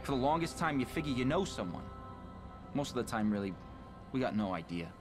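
A man's voice: medium pitch, very animated, close to an everyday voice. Man playing an earnest young American deputy, music behind. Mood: earnest, troubled. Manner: earnest, halting, plain-spoken. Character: earnest, awkward young small-town sheriff's deputy man. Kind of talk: video game dialogue.

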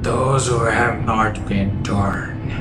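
A man playing a menacing, fanatical inhuman beast in a dramatic monologue, solemn and emphatic, moving between whispers and shouts. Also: on the low side, fairly even intonation, drawn-out words. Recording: echoey room with loud music over it. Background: loud scary music.

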